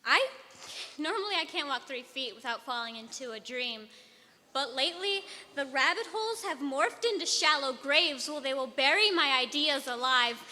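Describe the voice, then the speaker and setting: A woman's voice: sits high, lively intonation, moderate pace, pushed and bright, slight background noise. A teenage woman performing spoken word poetry with rhythmic, emphatic delivery on a stage. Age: teens. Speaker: slam poet. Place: auditorium stage. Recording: reverberant hall.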